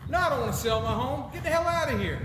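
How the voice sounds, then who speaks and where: A man's voice: very high voice, animated, fast, noisy background, voice pushed up. A man voicing showy, exaggerated comedy skit characters in a theatrical way. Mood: indignant.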